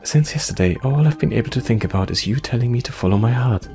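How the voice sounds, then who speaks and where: A man voicing a nervous, lively young forest adventurer. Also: mid-range voice, quick pace, close to an everyday voice. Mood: rambling, flustered. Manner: nervous, hesitant stammering and rambling. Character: anxious, rambling, self-doubting young forest-dwelling adventurer. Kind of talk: visual novel character read-aloud. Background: music.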